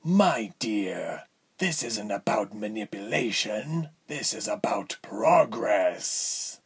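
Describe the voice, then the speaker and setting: A man voicing a condescending villain lord in a fantasy story, measured and smooth in manner with a breathy, husky tone. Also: on the low side, lively intonation, heavily acted. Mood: persuasive. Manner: measured, silky, haughty.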